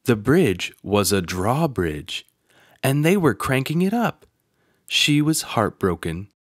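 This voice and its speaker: A man narrating a children's picture book aloud in an expressive storytelling manner. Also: mid-range voice, slow, no echo, clean studio sound.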